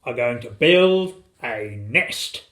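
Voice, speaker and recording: Storybook father, shrunk tiny played by a narrator: commanding, indignant, animated pitch, punchy delivery. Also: slow pace. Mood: bossy, determined. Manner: loud, emphatic, commanding. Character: tiny man turned winged, bossy indignant father.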